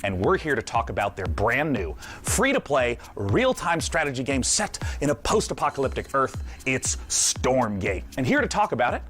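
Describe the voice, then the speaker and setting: An enthusiastic interviewer presents in a hosting style. The pitch moves around in a lively way, with faint music underneath. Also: moderate pace.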